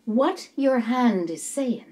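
A woman's voice: on the high side, animated, a little mellow. An older actress playing a gushing, theatrical palm reader in a film, who draws her words out dramatically. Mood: knowing, teasing. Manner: dramatic, calls people darling, drawn-out delivery. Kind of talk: film acting.

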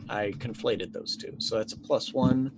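A man in his forties playing a tabletop roleplaying game, casually narrating his character's actions and thinking aloud haltingly. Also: medium pitch, a little hiss or hum, low-quality recording. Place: indoor room, online video call.

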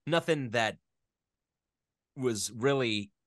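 A man's voice: medium pitch, lively intonation, slow pace, a bit bright, dry recording, studio quality. A man, a voice actor, chatting casually as an interview guest, telling stories in a joking, self-deprecating way.

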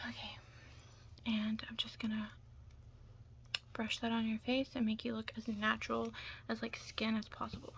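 A young woman doing an ASMR roleplay in whispers and soft talk, chatting casually and reassuringly close to the mic. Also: a little high, completely flat delivery, moderate pace, vocal fry, pauses often, a little hiss or hum. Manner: casual, chatty, reassuring makeup artist patter.

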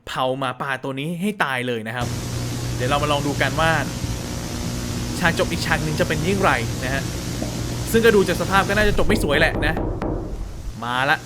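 A chatty, expressive man from Thailand hosting a casual horror reaction, commenting and exclaiming to viewers. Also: on the high side, moderate pace, long pauses, heavy background noise. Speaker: host of a horror reaction channel.

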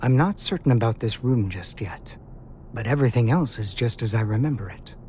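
An actor voicing a brooding, soft-spoken young male wizard in a game, measured, hesitant and formally polite. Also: mid-range voice, animated, a little hiss or hum, natural voice. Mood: solemn. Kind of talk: dramatic English anime-style game dub. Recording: music behind the voice.

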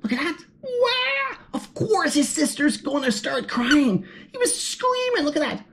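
A woman's voice: high-pitched, big pitch swings, measured pace, fairly bright tone. A woman reading a picture book aloud to children, gasping with surprise and asking the listener questions as she turns the pages. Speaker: children's story reader. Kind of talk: animated children's read-aloud.

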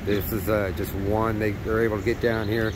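A man with a fairly low voice gives a relaxed, rambling fishing update from a riverbank, filling his talk with "uh" and loose asides. Wind gusts and rushing water sit loudly behind him, along with a truck engine running nearby, all caught on a camera mic outdoors. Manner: rambling, easygoing, many fillers. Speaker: fishing report host. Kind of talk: casual outdoor fishing report. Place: outdoors by a river on a windy day. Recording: windy outdoor camera audio with engine noise.

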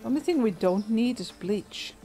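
A woman's voice: fairly high pitch, normal speed, faint music behind. A woman with a soothing voice streaming a game, chatting casually with viewers and thinking aloud with fillers and small laughs. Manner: relaxed, chatty, frequent little laughs and fillers.

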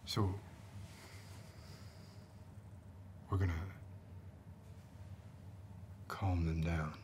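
A man playing a gruff, menacing middle-aged backwoods outlaw in an ASMR roleplay drama, terse, wry and muttering. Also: sits low, fairly even intonation, a little fast, darkish tone, slight background noise, natural voice. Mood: grim, tense. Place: dim indoor room, seen at a bar counter. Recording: roomy indoor sound.

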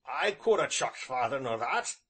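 A man's voice: mid-range voice, sing-song, normal speed, sharp tone, breathy edge, full character voice. A man voicing an angry, proud, brawny blacksmith with an English rural dialect, blunt and threatening. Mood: aggrieved, threatening.

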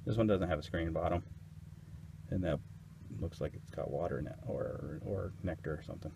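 A man's voice: low voice, normal speed, vocal fry, noisy background. A man casually narrating as he inspects his beehives outdoors, hesitant and unsure, thinking aloud. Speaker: beekeeper.

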